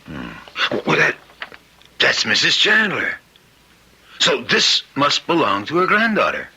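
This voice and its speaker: An actor playing a brisk, pragmatic hospital doctor in an old-time radio drama. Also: male voice, a little high, huge pitch swings, gravelly, bright, forceful tone, slightly rough, exaggerated voice. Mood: thoughtful, relieved.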